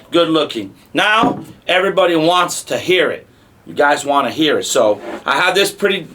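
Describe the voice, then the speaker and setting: A man's voice: a little high, fairly bright tone. A man hosting a product unboxing and demo in a casual, informal way, often repeating short phrases. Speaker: host.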